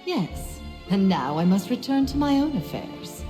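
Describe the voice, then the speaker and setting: An actress playing a cold, composed mastermind in an anime game dub. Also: female voice, mid-range voice, sing-song, a little slow, over music. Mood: coolly satisfied. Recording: long hall reverb.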